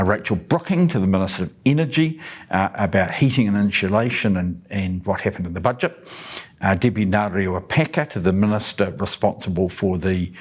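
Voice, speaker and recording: Chatty older New man, lowish voice, easy informal delivery. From New Zealand. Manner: relaxed, chatty, jokey asides. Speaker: parliamentary speaker hosting a daily update. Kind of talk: casual explainer of the day's business.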